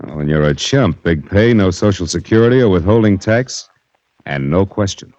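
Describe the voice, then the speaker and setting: An actor playing the domineering, slick boss of a car-theft gang in a radio crime drama, commanding and menacing. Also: male voice, sits low, animated, moderate pace, darkish tone, no room sound. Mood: firm, threatening. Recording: dull, dry old radio recording.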